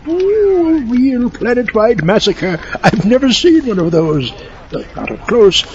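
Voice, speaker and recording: Man doing a very high, fussy, excitable chatterer. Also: slow, heavily acted. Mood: giddy. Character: fussy, excitable, self-satisfied comic onlooker. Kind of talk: comic radio drama. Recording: muffled recording with faint noise.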